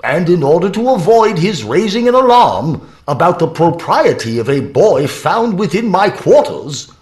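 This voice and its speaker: A man acts a pompous professor in an audio drama. He speaks slowly and formally in a highish voice, exasperated and swooping dramatically. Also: a little mellow.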